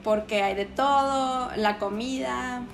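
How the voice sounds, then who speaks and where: A woman's voice: on the high side, takes it slowly, creaky, faint music behind. A young Mexican woman with a Mexican accent, chatting casually as an interview guest, full of slang and acting out what others said. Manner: slangy, animated, quotes others in voices.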